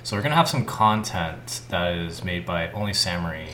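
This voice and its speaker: A man's voice: deep voice, animated, normal speed, fairly bright tone. Chatty podcast co-host man in echoey room. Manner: chatty, laughs often, many "like" fillers. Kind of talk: casual chat. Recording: roomy echo, faint noise.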